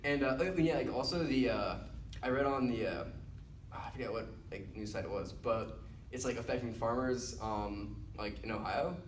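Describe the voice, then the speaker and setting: A man's voice: medium pitch, normal speed. A young male student answering an interview casually, rambling with many fillers. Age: young adult. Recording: roomy echo, mic at a distance, faint hiss.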